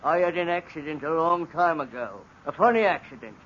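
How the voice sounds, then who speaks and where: A man's voice: on the high side, lively intonation, fairly quick, fairly bright tone. An actor playing a confused, simple-minded male servant in a 1940s radio drama, pleading and lashing out bitterly. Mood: anguished, confused. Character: simple-minded, tormented male servant after an old injury. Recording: dull old radio broadcast recording with faint hiss.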